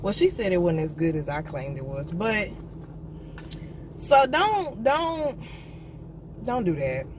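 A woman's voice: lowish voice, slow pace, long pauses. A young woman vlogging from inside a parked car at night, ranting bluntly and profanely to her viewers. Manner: blunt, profane, animated rant. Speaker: vlogger, entrepreneur. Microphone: phone camera on the dashboard. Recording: car interior with clear noise.

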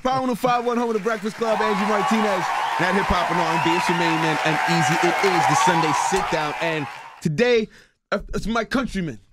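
Fast, high-voiced young radio host. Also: male voice, heavy background noise. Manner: playful, tells quick stories. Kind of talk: casual radio interview. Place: radio studio. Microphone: studio mic on boom arm.

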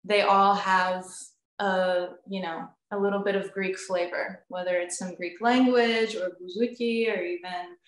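A young woman in her twenties, a singer, being interviewed over a video call from a room at home. She talks in a bubbly, animated way and laughs often. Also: medium pitch, unhurried. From United States, family from Crete. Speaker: guest, recording artist. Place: indoor room at home with instruments on the wall. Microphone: video call, webcam. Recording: roomy video call audio.

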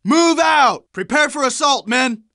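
An American Second World War squad leader in a video game. His voice is very high and forceful, often raised to a shout. He barks military orders with urgency and authority, in a dry, close recording. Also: expressive, measured pace, dry recording, heavily acted. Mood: commanding, rallying. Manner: commanding, barked military orders. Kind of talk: video game combat voice lines.

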